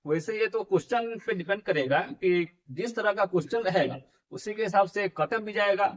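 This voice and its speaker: A man's voice: sits high, moderate pace. A young man running an exam coaching channel, explaining scores to viewers in a chatty lesson style and repeating each key number. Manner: repeats key figures, addresses viewers as friends. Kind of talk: explanatory exam-prep video.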